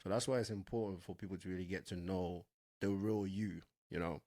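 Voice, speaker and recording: A man with a lowish voice hosts a casual interview. He speaks conversationally, haltingly circling back over his own phrases. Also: steady tone, mellow. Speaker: interviewer, show host.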